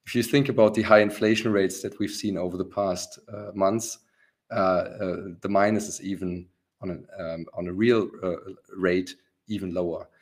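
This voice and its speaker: A hoarse man hosting a business webinar, talking with many pauses, ums and restarts. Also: low-pitched, fairly even intonation, darkish tone. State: rough, hoarse voice. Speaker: webinar host, company founder.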